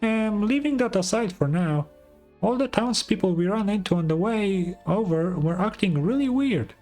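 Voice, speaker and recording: A man voicing a small, chatty female fairy companion from a game, excitable and a bit suspicious. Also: high voice, normal speed, faint music behind, pitched above the performer's own voice. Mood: puzzled. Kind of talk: game dialogue read in character.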